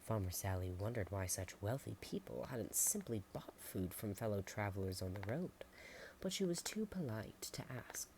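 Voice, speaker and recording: A woman reading a storybook in a soft whisper, adding chatty asides and sometimes stumbling over words. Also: extremely low pitch, expressive, normal speed, background noise.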